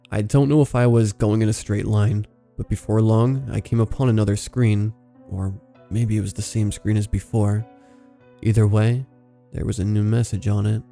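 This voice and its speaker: Low gravelly man narrating horror. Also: dark tone. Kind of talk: scripted horror story narration.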